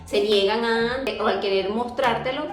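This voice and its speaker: A young woman with a Venezuelan accent talks earnestly to her vlog audience, often pausing with fillers and restarting her sentences. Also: fairly high pitch. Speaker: YouTuber. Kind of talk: casual vlog talk to camera. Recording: echoey room with music behind.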